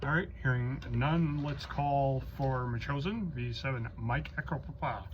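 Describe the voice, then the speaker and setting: A man's voice: medium pitch, measured pace. A man acting as net controller on a ham radio roll call, calling stations in informal procedural patter with some hesitation. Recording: muffled lo-fi audio with clear noise.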